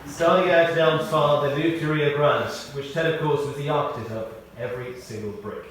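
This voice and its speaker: A man's voice: a little high, fairly bright tone. Echoey, distant man reading a memorial tribute. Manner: measured storytelling with dry humour. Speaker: eulogist at a memorial service. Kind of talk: prepared spoken tribute, read aloud. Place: speaking in a large church. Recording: echoey church, distant mic, faint noise.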